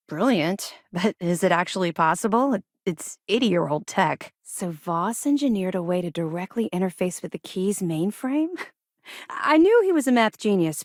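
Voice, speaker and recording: A tough female space officer from a video game , played by an actress, speaks in a lowish voice with a dry, close sound. She is dutiful and brisk, earnest but wryly informal, like an ex-pirate turned soldier. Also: no room sound, close to an everyday voice. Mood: wry, friendly. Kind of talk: video game character dialogue.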